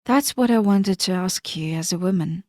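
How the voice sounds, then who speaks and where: A woman's voice: lowish voice, normal speed, dark tone, no room sound, studio quality, natural voice. A woman reading the lines of a polite, well-read married man in a storybook narration, with little change from her own voice. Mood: explaining.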